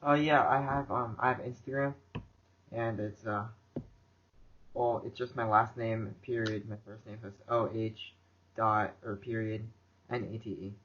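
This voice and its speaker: A man's voice: on the low side, fairly even intonation, unhurried, fairly bright tone. A man as a podcast guest, chatting casually and a little hesitantly. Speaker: actor, interview guest. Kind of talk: casual chat. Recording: dull, noisy home call audio.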